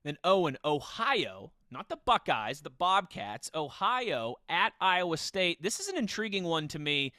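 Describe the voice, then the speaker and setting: A man's voice: fairly high pitch, very animated, measured pace, few pauses, bright, forceful tone, no echo, studio quality. A man hosting a casual football podcast in a conversational way, steering the discussion. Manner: conversational, steers questions to co-hosts. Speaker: podcast host.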